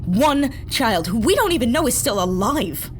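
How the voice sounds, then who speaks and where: An actress playing a distrustful, combative soldier on a space team in a sci-fi audio drama, blunt and hot-tempered. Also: female voice, fairly high pitch, very animated, moderate pace, faint music behind. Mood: angry.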